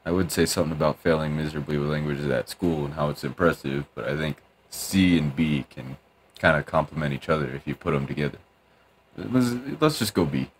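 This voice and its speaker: A man's voice: very deep voice, fairly quick, a little hiss or hum, rough recording. A man casually commenting while playing a game, rambling and joking at himself. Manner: rambling, self-deprecating, mumbly. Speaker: gaming youtuber. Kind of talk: casual let's-play commentary.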